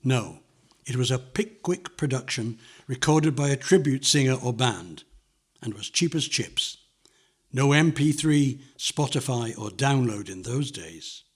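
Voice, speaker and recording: Older male narrator, husky voice at middling pitch, measured nostalgic memoir read. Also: animated. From London, England.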